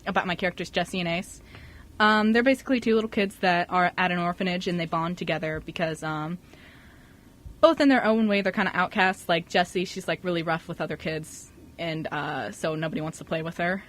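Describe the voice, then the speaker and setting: A woman's voice: fairly low pitch, normal speed, a bit bright. A woman co-hosting a radio show in casual, slangy, joking chat. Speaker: radio show co-host and scriptwriter.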